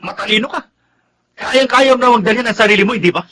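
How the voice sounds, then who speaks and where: An actor playing a troubled, defensive unfaithful husband in a Tagalog radio drama. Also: male voice, high voice, fast talker, noisy background, natural voice. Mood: bitter.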